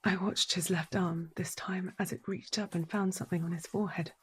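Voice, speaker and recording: Actress as a haunted, low-voiced woman confessing. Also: fairly even intonation, close to an everyday voice. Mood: hushed, brooding. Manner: intense, deliberate, repeating phrases, slipping into whispers. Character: haunted, unhinged wife narrating a violent confession. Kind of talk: dramatic audio drama monologue.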